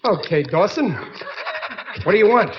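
A man's voice: high-pitched, expressive, moderate pace. An actor playing a scheming, blustery working-class family man in 1940s radio comedy style. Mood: evasive. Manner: blustery, cocksure, chuckling at own cleverness. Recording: noisy, muffled vintage 1940s radio recording.

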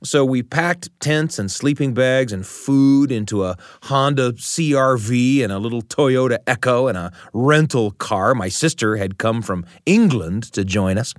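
A middle-aged American man in a storyteller's role, speaking with a very lively, swooping pitch. His phrasing is deliberate and literary, leaning on dramatic repetition. The sound is dry and close, like a radio narration. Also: dry recording. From United States. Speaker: radio show host and storyteller.

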